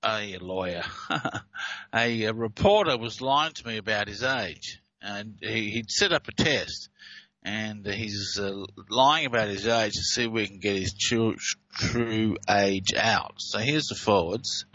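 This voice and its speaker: A man talks through recorded clips in a loose, halting way, with frequent "uh"s and restarts. His voice is bright and forceful. The sound is dry, close and slightly dull. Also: lowish voice, dry recording. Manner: hesitant, many fillers and restarts. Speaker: presenter explaining speech reversal recordings. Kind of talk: casual explanatory talk over played clips.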